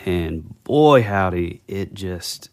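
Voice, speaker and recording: Low-voiced joking podcast co-host man. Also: lively intonation, clear, resonant tone. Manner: joking, quick banter. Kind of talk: casual chat.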